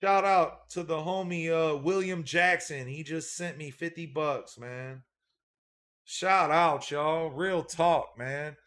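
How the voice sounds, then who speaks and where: A man's voice: a little high, takes it slowly, fairly bright tone. A man hosting a casual livestream as a dog breeder, laid-back, reading out and thanking viewer donations, often repeating a catchphrase.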